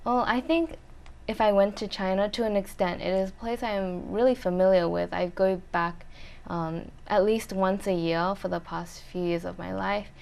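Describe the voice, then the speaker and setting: A young woman answering interview questions in a thoughtful, measured way with frequent pauses. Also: medium pitch, creaky, a little mellow. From Australia. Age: young adult. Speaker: interview guest, university student.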